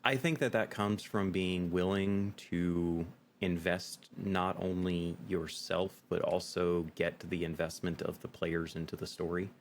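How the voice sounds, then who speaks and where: A man's voice: low-pitched, little pitch movement, a little slow, hardly any pauses, slight background noise. A man being interviewed as a game master, explaining his points in an emphatic way and often checking with "right?". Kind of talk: interview conversation.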